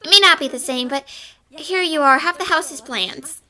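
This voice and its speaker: A helpful young maid voiced by a woman, courteous and high in pitch. The tone is extremely bright, in cartoon game dub style over music. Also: moderate pace. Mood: polite. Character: polite, helpful young female house maid. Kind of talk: fan dub game voice acting.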